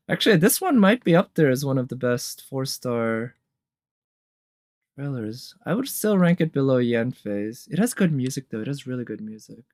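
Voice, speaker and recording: A man's voice: medium pitch, big pitch swings, normal speed, darkish tone, no echo, clean studio sound. A man giving casual, off-the-cuff live commentary as a streamer reacting to game trailers, musing as he goes. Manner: off-the-cuff musing, sometimes humming tunes. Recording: music playing behind the voice. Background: game trailer music with guitar.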